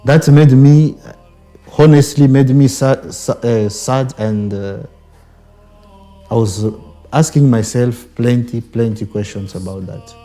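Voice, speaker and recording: A man's voice: medium pitch, takes it slowly, warm tone. A young man with a Congolese accent giving a reflective interview, hesitant and searching for words, often repeating phrases. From Democratic Republic of the Congo. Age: young adult. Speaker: Benedictine monastic brother, interviewee. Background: background music.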